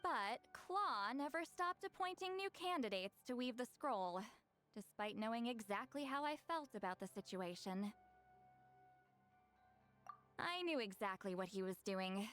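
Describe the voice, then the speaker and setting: A proud guarded shaman lady from a video game, highish voice, kinda wistful, music behind. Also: expressive, a little slow, fairly bright tone. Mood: wistful, resigned. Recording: studio voice over game music.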